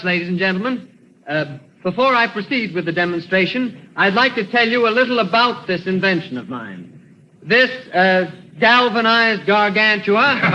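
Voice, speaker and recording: An actor playing an eager, nervous inventor who hesitates and stammers, in 1940s radio acting style. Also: male voice, high-pitched, sharp tone, a little hiss or hum, exaggerated voice. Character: eager, nervous middle-aged male inventor. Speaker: inventor presenting his machine. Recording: dull old radio broadcast.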